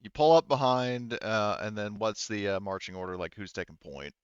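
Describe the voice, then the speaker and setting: Clear-voiced, low-pitched man running a game. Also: moderate pace, no room sound, highs cut off. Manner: informal, swears casually. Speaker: game master. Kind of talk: casual tabletop game narration and rules talk.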